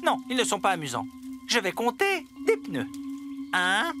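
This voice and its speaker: An actor voicing an anxious, excitable talking digger in a French children's cartoon, fretful and dramatic. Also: mid-range voice, moderate pace. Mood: subdued. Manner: fretful, dramatic, full of exclamations. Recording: music behind the voice.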